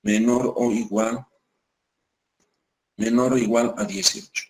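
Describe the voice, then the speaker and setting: Male math lecturer, mid-pitched, patient and repetitive, checks in with students constantly, slows right down on key rules. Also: steady tone, a little hiss or hum. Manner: repeats points, keeps checking with "¿listo?". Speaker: math teacher. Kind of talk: classroom lecture.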